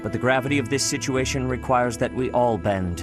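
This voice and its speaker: A vigilant, grave churchman from a fantasy game, played by a male actor, speaks formally and precisely. His voice sits at medium pitch over background music. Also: brisk, close to an everyday voice. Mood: grave, dutiful. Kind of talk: video game voice acting.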